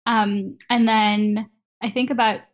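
A woman's voice: mid-range voice, level tone, takes it slowly. A woman in her thirties from Kenya, chatting casually as an interview guest and laughing easily.

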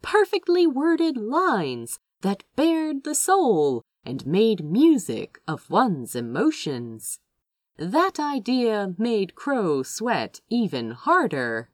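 A woman reading a fantasy story aloud as expressive, dramatic scripted narration. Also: mid-range voice, no room sound, clean studio sound. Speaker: fan fiction reader.

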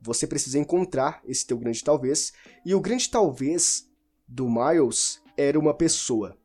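Man, slightly high mellow voice, loose rambling book talk, faint music behind. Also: unhurried, breathy edge. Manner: informal, rambling with restarts and self-corrections. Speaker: book reviewer. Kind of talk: casual spoken book discussion.

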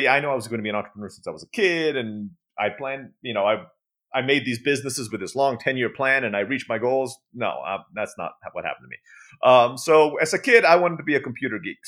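A man's voice: medium pitch, a bit bright. A man with an American accent, a native Turkish speaker, chatting as a podcast guest and laughing easily. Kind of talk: casual interview chat.

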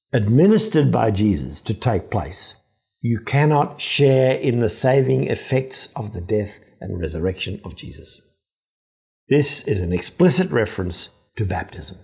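A man giving an explanatory religious reflection as a priest and professor, speaking in a deliberate, emphatic, teacherly way. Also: medium pitch, a little mellow.

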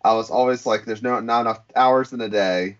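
A man co-hosting a casual podcast, relaxed and wry, with lots of fillers. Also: fairly low pitch, brisk. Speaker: podcast co-host. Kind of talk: casual banter. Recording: dull lo-fi sound.

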